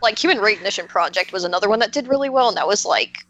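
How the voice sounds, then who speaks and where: A woman's voice: medium pitch, racing, poor audio. A woman on a podcast panel chatting very informally, often acting out her own reactions and peppering her talk with "like". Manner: informal, animated self-quoting, frequent "like". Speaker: podcast panelist, game creator. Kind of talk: casual chat.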